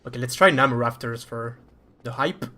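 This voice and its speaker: A man's voice: medium pitch, moderate pace, pushed and bright, a little hiss or hum. A man with a French accent commentating live over his own speedrun in a chatty, self-deprecating way.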